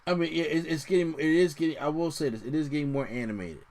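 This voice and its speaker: A man co-hosting a casual podcast, talking through wrestling predictions in an animated way, often restarting his sentences and jumping up in pitch. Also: fast. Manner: animated, lots of restarts and sudden pitch jumps. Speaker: podcast co-host.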